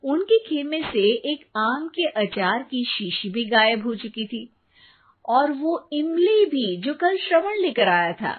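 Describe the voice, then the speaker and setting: A woman's voice: high voice, very animated, slow pace. A woman narrating a scripted story as a storyteller, on a tinny recording. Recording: tinny phone-like sound with faint noise.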